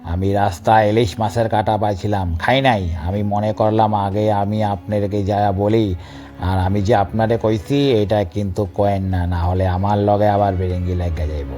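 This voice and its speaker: A man's voice: lowish voice, steady tone, normal speed, mellow, soft background music, close to an everyday voice. A man with a Bangladeshi accent voicing a sly, gossiping animal troublemaker who eggs others on in a comic cartoon. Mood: sly tattling.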